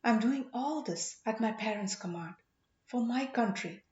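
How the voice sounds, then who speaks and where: A woman's voice: fairly high pitch, animated, unhurried, darkish tone, reverberant, muffled recording, a little hiss or hum. A woman voicing a dazed, earnest young prince full of wonder in an audiobook story. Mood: anguished.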